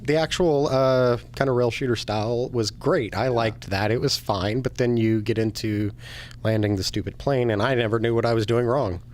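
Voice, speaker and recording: A man's voice: mid-range voice, normal speed, a little mellow. A man co-hosting a casual video game chat, easygoing with a dry, joking way of talking. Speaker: podcast co-host.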